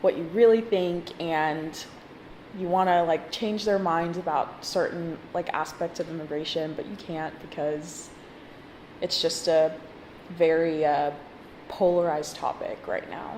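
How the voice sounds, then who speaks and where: Slow-spoken young woman in a roomy space. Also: low voice, fairly even intonation, darkish tone. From born in Zimbabwe, grew up in Texas from age four. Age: 20s. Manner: hesitant, pausing mid-phrase, casual. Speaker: graduate student interviewed in a documentary. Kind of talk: personal interview, reflective talk. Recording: roomy echo, faint background noise.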